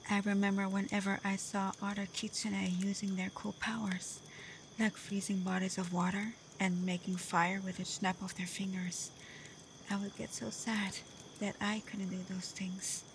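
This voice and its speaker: A woman's voice: medium pitch, level tone, normal speed, slight background noise, close to an everyday voice, low-quality recording. Woman acting as tender, whispery young fox-spirit woman. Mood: wistful, hesitant. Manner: breathy, emotional delivery often dropping into whispers. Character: young half-blood kitsune woman, tender, devoted and vulnerable. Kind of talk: ASMR roleplay voice acting addressed to the listener.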